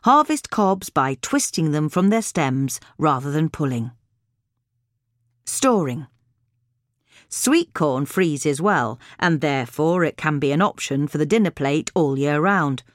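Woman narrating, lively intonation, dry sound. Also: low-pitched, slow pace, no room sound. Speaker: reader of a gardening magazine article. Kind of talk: scripted narration, instructional.

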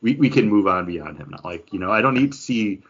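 Low, husky man chatting, slightly dull audio. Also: expressive, brisk, a bit bright. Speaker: podcast host. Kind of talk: casual pop culture news chat.

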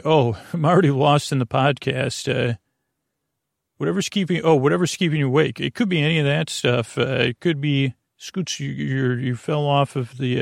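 A man with a heavily creaky, fry-laden voice delivers a rambling bedtime monologue as a podcast host. He drifts off on tangents, stops and starts, and stumbles over words. The sound is dry, with no room echo. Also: medium pitch. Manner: meandering, halting, digressive, stumbling over words.

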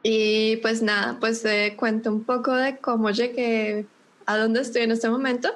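A woman with a Bogotá Colombian accent speaks as a podcast guest, her voice sitting a little high. She tells her story in an easy, conversational way, stopping often on fillers as she gathers her thoughts. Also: unhurried. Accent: Bogotá Colombian Spanish. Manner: relaxed storytelling with many hesitations and fillers. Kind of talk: casual interview chat.

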